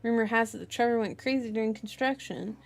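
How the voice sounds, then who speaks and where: A woman's voice: fairly high pitch, fast talker, creaky. A woman voicing a good-natured veteran policeman in his late thirties, folksy and mildly scolding, in a novel reading. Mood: storytelling. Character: good-natured, gruff 38-year-old male senior police officer.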